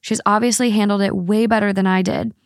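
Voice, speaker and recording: Woman co-host, average pitch, dry close sound, reads posts aloud steadily. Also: steady tone, brisk, dry recording. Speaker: podcast co-host. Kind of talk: casual chat, reading posts aloud.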